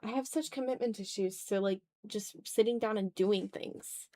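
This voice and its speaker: A woman's voice: mid-range voice, moderate pace, mellow. A woman hosting a casual art livestream, thinking aloud in a self-deprecating way as she draws. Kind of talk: casual chat while drawing on a livestream.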